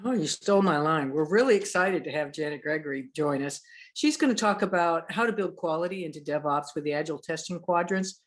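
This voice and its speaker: A man co-hosting an online meetup, announcing an upcoming speaker with enthusiasm and a few halting fillers. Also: fairly high pitch. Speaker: meetup co-host.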